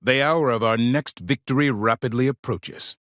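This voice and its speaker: A man's voice: mid-range voice, normal speed, a bit bright, no room sound, lightly acted. Man playing a grandiose, zealous military officer, dry close sound. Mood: rousing. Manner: grandiose, formal, stern oratory. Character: solemn, zealous male military democracy officer rallying soldiers. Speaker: military officer and mission briefer. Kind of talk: scripted video game voice acting.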